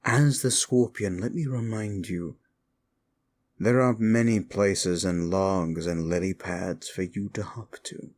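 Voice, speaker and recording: A man's voice: low voice, fairly even intonation, slow, darkish tone. A man playing a sly, evil demon who taunts and manipulates in an intimate ASMR roleplay, teasing and condescending. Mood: sly, brooding.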